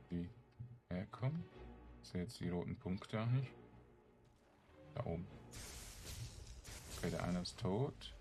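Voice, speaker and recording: A man with a low voice talks through a video game as a let's play host. His commentary is casual and comes in short, murmured remarks, as if to himself. Faint music plays behind him.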